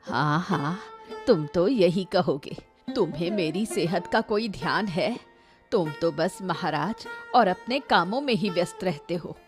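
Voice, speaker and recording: A woman's voice: on the low side, expressive, measured pace, soft background music. A woman voicing a lazy, complaining elderly mother who scolds her grown son in a Hindi cartoon story. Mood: irritated, complaining. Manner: scolding, complaining, excuse-making.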